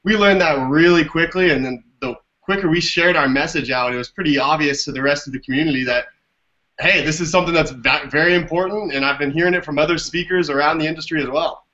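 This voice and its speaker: A man with a fairly high voice gives conversational, explanatory answers as an interview guest. He is heard through a video call, with a dulled, low-fidelity sound. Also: fast talker, pushed and bright. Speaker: interview guest, business development director. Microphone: video call microphone. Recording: dull lo-fi video call audio.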